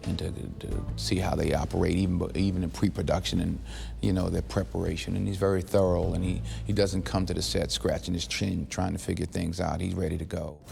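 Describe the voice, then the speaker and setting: A man's voice: sits low, little pitch movement, fairly quick, creaky, music in the background. A man interviewed for a film feature, speaking earnestly and often repeating words as he thinks. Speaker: actor interviewee. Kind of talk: behind-the-scenes interview.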